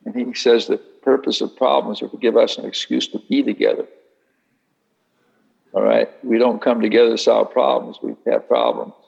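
Gravelly, husky man musing to an audience. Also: mid-range voice, level tone, normal speed, darkish tone, muffled recording. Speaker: speaker leading a Q&A session. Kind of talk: reflective talk answering an audience.

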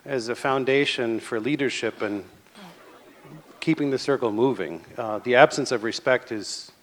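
A man's voice: mid-range voice, normal speed, fairly bright tone, in a large hall. A man in the audience talking in a reflective, slightly hesitant way.

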